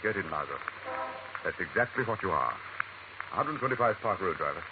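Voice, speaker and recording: An actor playing an earnest, determined gentleman sleuth in an old radio drama, urgent and resolute. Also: deep voice, very animated, normal speed, phone-quality sound. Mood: troubled. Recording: noisy, thin old radio recording.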